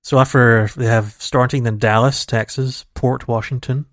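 A man's voice: mid-range voice, fairly even intonation, normal speed, no echo, clean studio sound. Rambling man co-hosting a podcast. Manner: chatty, rambling, laughs easily. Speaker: podcast co-host. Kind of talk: casual chat.

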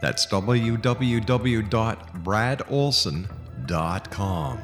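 A man's voice: on the low side, extremely slow, over loud music. A man hosting a radio show, speaking in an emphatic, showman-like announcer style.

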